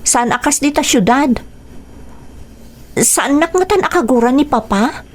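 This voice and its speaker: Young mother, uneasy, hesitant, fairly high pitch, radio play. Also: lively intonation, measured pace. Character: young mother, anxious about her strict father. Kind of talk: radio drama acting.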